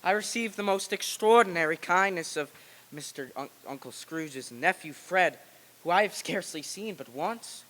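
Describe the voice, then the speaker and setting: A man's voice: high-pitched, lively intonation, moderate pace, fairly bright tone. A man playing a humble, grieving father in an old-time radio play reading on stage. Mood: tender, grateful. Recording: long echo of a hall.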